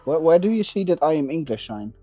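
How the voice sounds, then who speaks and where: Guy muttering thru a build on stream, halting, mellow voice, music in the background. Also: fairly high pitch, very animated, no echo. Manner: halting, repeats words, thinking aloud. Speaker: maker livestreaming a 3D printing build. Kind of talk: casual chat while working.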